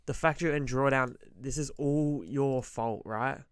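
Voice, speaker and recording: Slightly hoarse man giving blunt trading advice. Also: mid-range voice, measured pace, no echo, studio quality. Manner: direct, emphatic, repeats key points; frequent throat clearing. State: slightly hoarse from being sick. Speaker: forex trader giving advice. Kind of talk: motivational trading-psychology talk to camera.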